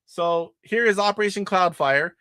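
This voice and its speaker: A man's voice: sits high, moderate pace, sharp tone, clear, resonant tone, no room sound. A middle-aged man hosting a casual live stream about retro games, sick and nasally with his voice starting to go. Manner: rambling, demonstrating games live. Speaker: retro gaming show host.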